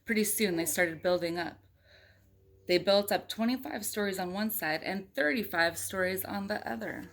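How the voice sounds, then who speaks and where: A woman with a mid-pitched voice reads a storybook aloud to young children. She keeps it conversational and engaging, mixing the narration with casual remarks and questions to the listeners. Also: normal speed, a bit bright, slight background noise. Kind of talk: read-aloud storytelling with chatty asides to children.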